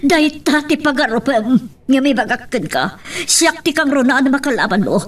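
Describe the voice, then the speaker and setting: Radio drama casting: resolute, anguished mother, high pitch, set back from the microphone. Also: animated, moderate pace, distant mic. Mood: pleading, defiant. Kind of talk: melodramatic radio drama acting.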